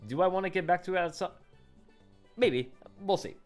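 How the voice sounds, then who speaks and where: Rambling young man, soft music behind. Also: high-pitched, moderate pace. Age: 20s. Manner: rambling, self-correcting, lots of fillers. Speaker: YouTuber. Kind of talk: casual vlog update. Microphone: condenser studio microphone.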